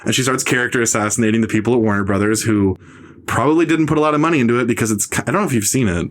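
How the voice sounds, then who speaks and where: A young man hosting a podcast, ranting casually and sarcastically about film critics, jumping into high mocking impressions. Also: racing, fairly bright tone. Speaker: podcast host. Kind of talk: casual opinionated film talk.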